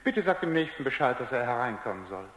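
A defensive, condescending scientist in a radio play. His mid-pitched male voice moves widely up and down. The sound is roomy and reverberant. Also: big pitch swings, quick pace, fairly bright tone. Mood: patronising, instructive. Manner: condescending, stammers when flustered. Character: defensive, patronising male scientist, testy under questioning. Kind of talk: German radio drama acting. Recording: echoey, reverberant radio drama recording.

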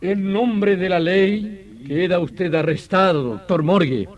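An actor playing a blustering, commanding French police commissioner who barks orders in an old radio drama. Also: male voice, sits high, slow pace. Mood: official, stern. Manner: barking orders, exclamatory.

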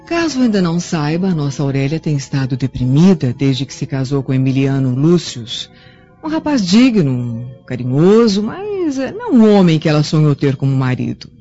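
An actress playing a scheming, determined Roman wife and mother in a radio drama, hushed and crafty. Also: sits low, sing-song, normal speed. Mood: defensive, aggrieved. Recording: dull lo-fi audio with faint music.

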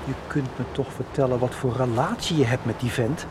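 An actor in a radio soap playing an earnest, wistful middle-aged factory director from a farm family. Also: male voice, medium pitch, fairly quick, noisy background, natural voice. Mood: probing, grave.

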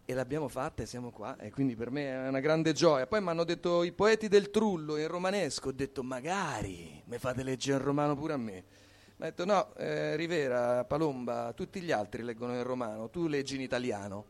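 Male poetry reader, average pitch, live spoken-word delivery. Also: expressive, a little fast. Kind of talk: live introduction and poetry reading.

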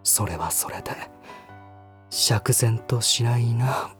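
A man acting a polite, reserved, bashful lover in a Japanese voice drama, with soft, refined, slightly formal speech. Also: medium pitch, slow, soft background music, close to an everyday voice. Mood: moved, gentle.

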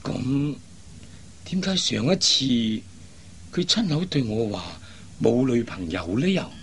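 An actor playing a worried middle-aged father in a Cantonese radio drama, sighing and speaking with drawn-out emotion. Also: medium pitch, big pitch swings, a little hiss or hum. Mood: exasperated. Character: worried, exasperated father of grown daughters.

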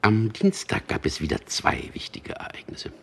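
A man's voice: on the low side, huge pitch swings, normal speed, gravelly, a bit bright, slight background noise, full character voice. An actor playing a cynical man in a radio drama, speaking with dry, intimate irony as he talks to his lover. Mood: wry. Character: Peter, wry cynical man telling mock news to lover. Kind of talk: German radio drama acting.

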